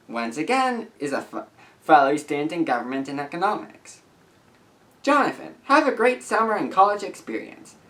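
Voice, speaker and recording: A young man of about eighteen reading messages aloud and commenting to camera as a YouTuber, with an earnest, deliberate, halting delivery. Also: fairly high pitch, fairly bright tone, distant mic. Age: young adult, about 18.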